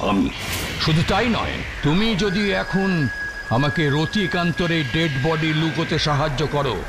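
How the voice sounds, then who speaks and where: A man voicing a greedy, scheming villain in an animated cartoon, speaking in a coaxing, conspiratorial way. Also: mid-range voice, heavy background noise, close to an everyday voice.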